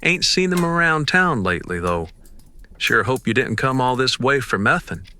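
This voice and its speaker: Man playing a bright, folksy frontier storekeeper. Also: medium pitch, expressive, normal speed, a little hiss or hum. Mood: folksy, probing. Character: folksy, nosy frontier general store clerk. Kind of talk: old-time radio western acting.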